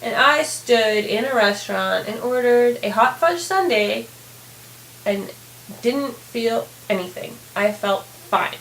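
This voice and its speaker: A woman with a hoarse, raspy voice giving a candid, rambling video diary update, full of fillers. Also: on the high side, animated, slow, a bit bright, reverberant, slight background noise, rough recording. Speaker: vlogger.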